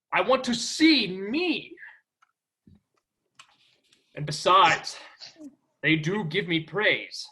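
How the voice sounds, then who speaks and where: A vain, pompous superhero prince speaks high and slowly, as a man plays him. His bright voice swings up and down with theatrical flair. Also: heavily acted. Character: vain, self-loving male superhero prince. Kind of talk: stage play acting.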